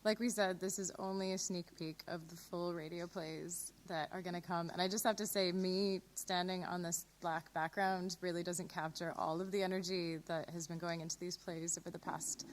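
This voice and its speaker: A woman's voice: fairly low pitch, little pitch movement, normal speed, hardly any pauses, a little hiss or hum. A woman, hosting and giving an informal closing address to an online audience. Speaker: host.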